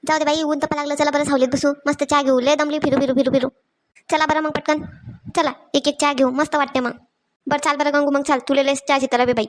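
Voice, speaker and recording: A woman's voice: high-pitched, level tone, fast talker, natural voice. A woman voicing an impatient, nagging village housewife in a comedy cartoon, colloquial. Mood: brisk. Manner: complaining, colloquial. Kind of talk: Marathi comedy cartoon dialogue.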